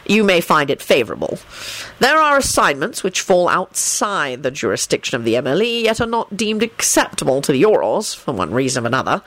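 A woman voicing a calm, dignified male law officer with a polite, measured, dry manner in a story reading. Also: low-pitched, no room sound, exaggerated voice. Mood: polite, stern.

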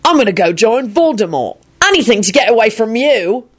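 A woman's voice: a little high, very animated, a little fast, breathy edge, pitched above the performer's own voice. A woman voicing an irritable, foul-mouthed young man in a story, snappish and exasperated. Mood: aggravated.

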